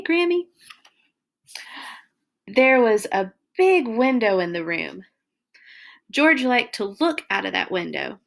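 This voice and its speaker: A woman hosting a read-along, reading a children's picture book aloud with animated, exaggerated emphasis for young listeners. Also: medium pitch.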